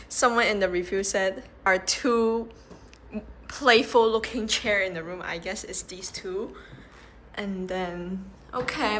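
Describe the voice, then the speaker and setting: A young woman vlogging casually as she tours a budget hotel, chatty and excitable, full of "like"s and giggles, filmed on a handheld phone. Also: medium pitch. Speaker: travel vlogger. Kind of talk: casual travel vlog. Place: budget hotel room and entrance. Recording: echoey room with loud background noise.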